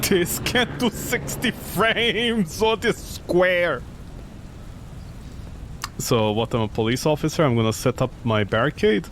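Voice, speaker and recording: A man's voice: a little high, slow, fairly bright tone, a little hiss or hum. A man doing live game commentary, talking to himself in a sarcastic, exclamatory way. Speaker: gaming streamer.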